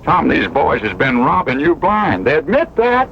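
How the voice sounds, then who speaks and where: Casting: wry, fretful Western sidekick, gravelly and swooping, rural drawl, radio drama. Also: male voice, on the high side, sing-song, moderate pace, background noise. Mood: plainspoken, wry. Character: folksy, talkative, worrying old-West sidekick, male. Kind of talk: old-time radio western acting. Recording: muffled old radio broadcast, music behind.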